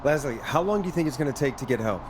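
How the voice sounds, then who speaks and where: Actor as a nervous, wry late-night radio host. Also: male voice, mid-range voice, lively intonation, very fast, noisy background, lightly acted. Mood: wry, concerned. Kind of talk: video game voice acting.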